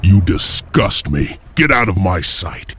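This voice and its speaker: An actor playing a stern, determined heroic clan chieftain in a video game, terse and commanding, with a husky voice. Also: male voice, deep voice, big pitch swings, slow pace, a bit bright, exaggerated voice.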